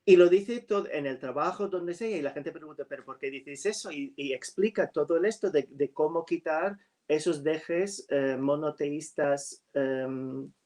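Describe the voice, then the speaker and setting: A man whose first language is English, a writer in a casual chat over a video call, speaking slowly and hesitantly with many fillers. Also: a little high, a little mellow. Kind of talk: casual conversation.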